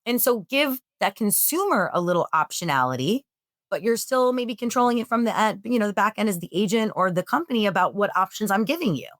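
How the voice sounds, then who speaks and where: A woman answering interview questions in an energetic, emphatic way; she says she has a loud voice. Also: medium pitch, fairly quick, no echo, studio quality. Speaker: real estate executive guest.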